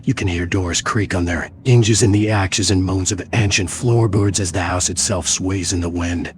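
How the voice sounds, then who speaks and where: A man narrating a gothic mystery game as a brooding storyteller, in a measured, atmospheric scripted style. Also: low voice, steady tone, a little hiss or hum, natural voice.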